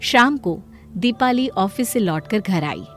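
A woman's voice: medium pitch, expressive, unhurried. A woman narrator reads a scripted story. Music plays behind the voice.

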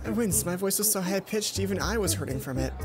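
A young man hosts a let's play, reading story text and adding his own comments. He is expressive and playful. Music plays clearly behind his voice. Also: high-pitched, fast. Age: young adult. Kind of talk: reading visual novel aloud with commentary.

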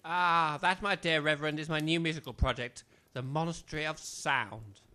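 A retired English army major in a comic audio drama. He is pompous and quick to bark orders, with a forceful, cutting voice set a little high. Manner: pompous, blustering, barking orders.

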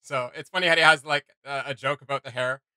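Very bright-voiced man, chatty. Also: mid-range voice, fairly even intonation, fast, no room sound. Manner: rambling, jokey. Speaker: reaction video host. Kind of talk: casual reaction commentary. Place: small home room with shelves, indoors.

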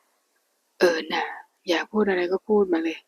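A woman voicing a sullen young man who answers curtly in a soft, husky whisper. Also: on the high side, fairly even intonation, measured pace, no echo. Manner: soft whispered delivery, curt replies. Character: sullen young man drinking, curt and brooding.

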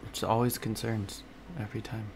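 A man's voice: lowish voice, level tone, moderate pace, creaky. A man in his thirties giving offhand, joking reactions as a game streamer, in short muttered interjections. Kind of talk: casual reaction commentary. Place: indoor gaming room, seated. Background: game music.

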